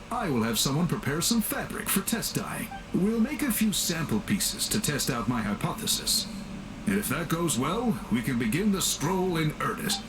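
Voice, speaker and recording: Formal, lively-toned scholarly game character. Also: male voice, fairly high pitch, noisy background. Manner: formal, measured, explanatory. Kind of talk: video game voice acting.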